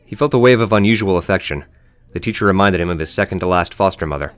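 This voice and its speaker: A man's voice: low-pitched, fast talker, clear, resonant tone. A man narrating an audiobook story in a scripted storytelling style.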